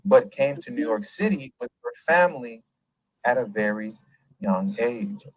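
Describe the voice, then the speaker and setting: A man hosting a casual commentary show, talking in an animated, emphatic and rambling way and laughing often. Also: mid-range voice, a little slow, no room sound, poor audio. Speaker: host.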